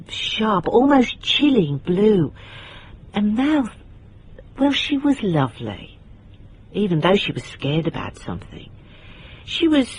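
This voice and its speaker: An actress playing a middle-aged British private detective, blunt and dryly wry, in radio drama dialogue and noir narration. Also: female voice, lowish voice, breathy edge, exaggerated voice, low-quality recording.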